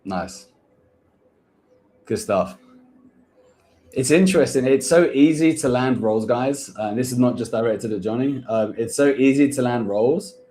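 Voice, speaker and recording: A man's voice: mid-range voice, a little slow, long pauses, dark tone, slight background noise. A man hosting a live-stream Q&A about getting hired, blunt and coaching, calling people "bro". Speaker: host, recruitment agency owner.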